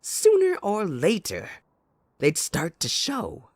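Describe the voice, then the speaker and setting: A man playing a mocking, possessive, sadistic villain in an ASMR audio roleplay, with a taunting, drawn-out theatrical delivery. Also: high voice, wildly swooping pitch, no room sound, exaggerated voice. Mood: cruel, coaxing.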